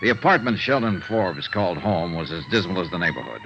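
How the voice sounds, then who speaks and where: A muffled vintage radio recording of a world-weary American investigator. His hard-boiled, clipped delivery swings expressively in pitch, dry and persistent in noir style. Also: animated, brisk, creaky, music in the background. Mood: somber narrating. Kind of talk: 1950s radio noir acting and narration.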